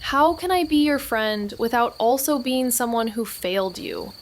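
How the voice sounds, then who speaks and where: A woman playing a guilt-ridden young woman in an audio drama, speaking in an emotional, halting, confessional way. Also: a little high, slight background noise, lightly acted. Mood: guilty, weary. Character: Mags, guilt-ridden, anguished young woman, former best friend. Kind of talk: naturalistic audio drama acting.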